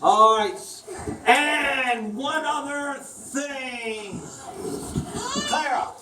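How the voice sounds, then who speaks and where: A woman's voice: high-pitched, very slow, sharp tone. A woman teacher quizzing children, asking questions, repeating them and echoing back the answers in a prompting way. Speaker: children's Sunday school teacher quizzing a class. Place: large echoey indoor room with children. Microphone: distant camera or room mic. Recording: echoey room, distant mic, noisy.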